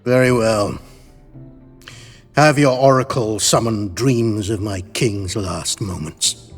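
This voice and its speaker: A man's voice: fairly low pitch, slow pace, husky, big-room sound, soft background music. A man playing a proud, suspicious elven lord in a fantasy video game, speaking formally and haughtily. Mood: guarded. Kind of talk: cinematic fantasy game acting.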